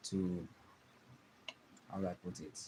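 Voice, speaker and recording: Slow, deep-voiced Nigerian man teaching drawing. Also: little pitch movement, background noise. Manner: slow, soft-spoken, trailing off while drawing. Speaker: art teacher streaming live. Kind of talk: live drawing tutorial.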